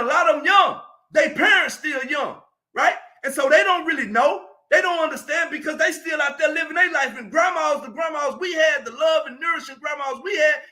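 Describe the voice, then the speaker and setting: A man, speaking in a very high, piercingly bright voice. He gives an emphatic, preachy motivational talk on a live stream. He often raises his voice to drive his points home. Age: 40s.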